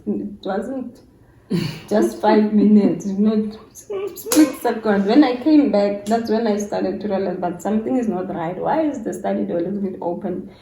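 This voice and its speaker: A woman's voice: medium pitch, expressive, measured pace, warm tone, a little hiss or hum. South African woman storytelling in an echoey room. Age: middle-aged. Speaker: YouTuber's mother telling a story as guest. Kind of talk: casual conversational storytelling. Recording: roomy, echoing indoor sound.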